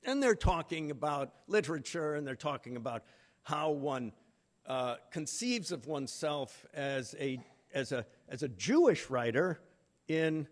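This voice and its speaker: A man giving a literary lecture in a deliberate, emphatic manner with pauses between phrases. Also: mid-range voice. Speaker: conference speaker, literary lecturer. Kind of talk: literary lecture with read-out quotations.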